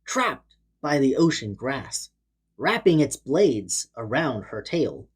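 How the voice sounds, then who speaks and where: A woman's voice: a bass voice, very animated, slow pace, breathy edge. A woman reading a children's storybook aloud in a dramatic, theatrical way. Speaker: storybook narrator. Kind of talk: expressive children's read-aloud narration.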